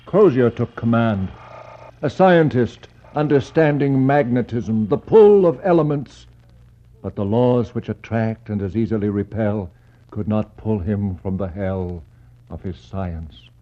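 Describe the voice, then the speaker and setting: An actor playing a solemn, intense explorer who narrates to the dead in poetic, incantatory lines, in a 1960s radio drama. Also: male voice, lowish voice, expressive, a little slow. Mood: probing, grave. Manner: incantatory, rhetorical questioning. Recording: muffled, faintly noisy 1960s radio recording.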